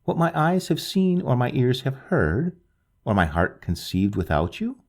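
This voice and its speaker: Solemn gravelly male storyteller. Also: medium pitch, sing-song. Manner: slow, solemn, wistful storytelling. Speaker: narrator reading a short story. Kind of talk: scripted literary narration.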